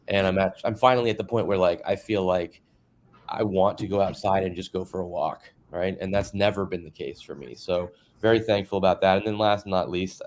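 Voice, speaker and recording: Low-voiced reflective man on a call. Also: darkish tone. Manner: reflective, rambling with fillers. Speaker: party guest. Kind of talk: casual online video chat. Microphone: video call.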